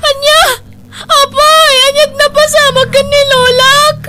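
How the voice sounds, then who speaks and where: A woman acting a very high-pitched, anxious young daughter. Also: flat delivery, a little slow, pushed and bright, full character voice. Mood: panicked grief. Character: young village daughter, anxious, tender, plaintive. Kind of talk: Ilocano radio drama acting. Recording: music behind. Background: music.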